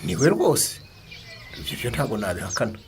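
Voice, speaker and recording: A self-assured Rwandan cattle owner in a radio drama. His medium-pitched voice swings widely and moves briskly as he protests, with faint background noise. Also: sing-song. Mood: puzzled, protesting. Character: self-assured cattle-owning villager denying a debt.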